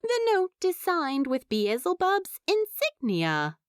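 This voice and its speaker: A woman's voice: sits high, huge pitch swings, slow, dry recording, clean studio sound. A woman narrating a scripted story expressively, as an audiobook reader would. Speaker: fan fiction narrator.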